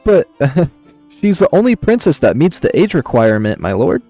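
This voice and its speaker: A man's voice: a little high, sing-song, normal speed, warm tone, soft background music, pitched above the performer's own voice. A man voicing a loyal general who needles his ruler with wry familiarity in a visual novel. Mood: amused. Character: teasing male army general, loyal confidant to his emperor.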